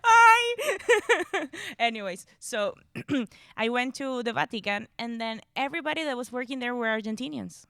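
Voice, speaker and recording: Woman, from Venezuela, podcast co-host, playful, lively swoops, dry close sound. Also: a little high, measured pace, no echo. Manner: loud shrieking laughter, playful and teasing. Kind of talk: casual chat.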